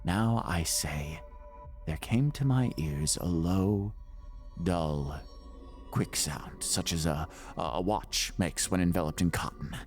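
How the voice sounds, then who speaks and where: A man playing an unhinged, murderous gothic narrator in a dramatic horror story reading, theatrical and deliberate, with breathy whispers. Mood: insistent, hushed. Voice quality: very deep, creaky, breathy whispers. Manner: theatrical, deliberate, repeating words with menace. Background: faint background music.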